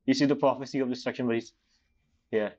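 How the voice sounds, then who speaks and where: A man's voice: mid-range voice, steady tone, a little mellow. Man reacting to a game, slightly muffled. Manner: halting, non-fluent English phrasing. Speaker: game streamer. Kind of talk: casual gameplay reaction commentary.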